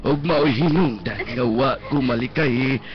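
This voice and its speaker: On a dull radio recording with prominent music, an actor plays a remorseful male benefactor. He has a mid-range voice and a Cebuano accent, speaking earnestly and gently in a measured drama delivery. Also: medium pitch, lightly acted.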